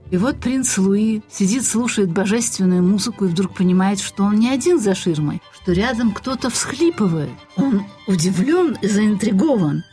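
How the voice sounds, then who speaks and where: Female storyteller, average pitch, conversational but literary delivery of historical anecdotes, soft music underneath. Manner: expressive, unhurried, literary phrasing with acted-out quotes.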